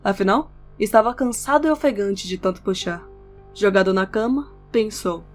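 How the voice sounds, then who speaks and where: A woman's voice: mid-range voice, lively intonation, moderate pace, a little mellow. Woman narrating an audio novel over music. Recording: background music under the voice. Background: instrumental music with guitar.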